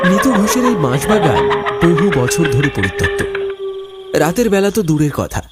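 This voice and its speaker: Casting: male storyteller for horror tales, theatrical, widely swooping intonation, music underneath. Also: fairly high pitch. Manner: theatrical, suspenseful. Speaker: narrator. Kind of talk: dramatic scripted horror story narration.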